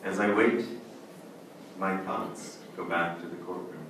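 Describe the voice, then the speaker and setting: A man's voice: low-pitched, steady tone, unhurried. A man playing a desperate, doomed judge in an old-time radio melodrama, with theatrical delivery. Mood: resigned, somber. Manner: theatrical, pleading. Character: desperate, doomed male judge who murdered his wife. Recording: echoey, distant stage recording, faint noise.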